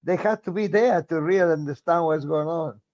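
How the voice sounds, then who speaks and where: A man of about ninety with an Argentine Spanish accent, speaking as a guest in a relaxed interview, halting with frequent pauses and fillers and chuckling often. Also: a little high, highs cut off. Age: around 90. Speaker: guest, retired medical professor. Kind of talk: conversational interview.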